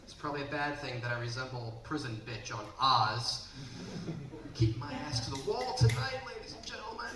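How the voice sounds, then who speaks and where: A man in his twenties from the Baltimore area, doing a brash, punchy stand-up set on a comedy club stage and sometimes shouting. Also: medium pitch, expressive, brisk, music in the background. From Baltimore area, Maryland. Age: 20s. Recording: roomy, distant, noisy club recording.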